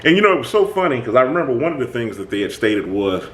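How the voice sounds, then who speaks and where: Host, male, booming voice, very animated intonation, talks to camera in an emphatic, reflective style. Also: fast. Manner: animated, emphatic, many restarts. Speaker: channel host. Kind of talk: reflective self-talk monologue.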